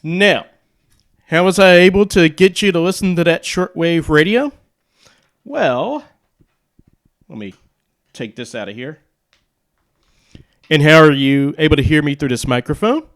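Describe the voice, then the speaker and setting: A man with a fairly high, smooth voice presents a radio and audio gear demo. He talks casually and takes his time, laying out each point in short, halting phrases. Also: animated, fairly bright tone. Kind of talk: casual demo and explainer talk.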